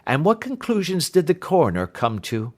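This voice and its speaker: A probing gentleman detective from a classic mystery storybook , voiced by a man reading aloud. He is brisk and inquisitive, questioning with clear authority. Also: on the high side, huge pitch swings.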